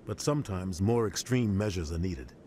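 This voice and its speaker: An actor voicing a seasoned, pragmatic military officer in a video game, explaining with measured authority. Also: male voice, low voice, lively intonation, dark tone, a little hiss or hum. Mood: grave, measured. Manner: matter-of-fact, authoritative explaining.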